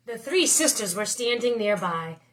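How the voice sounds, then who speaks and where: A woman's voice: on the high side, animated, moderate pace. A woman narrating a children's picture book expressively, like a teacher reading aloud.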